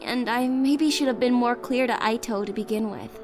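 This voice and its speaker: A woman's voice: a little high, normal speed, noisy background, close to an everyday voice. A woman voicing an earnest, easily flustered young tsundere student in a visual novel game, speaking a little formally. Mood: earnest, calm. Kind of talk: anime-style visual novel game voice acting. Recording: game dialogue under loud music. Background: music.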